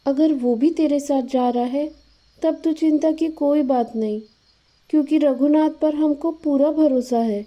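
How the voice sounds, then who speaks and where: Casting: actress as concerned, trusting village mother for a cartoon moral tale; high-pitched voice, slightly muffled recording. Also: measured pace. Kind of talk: Hindi cartoon moral-story voice acting.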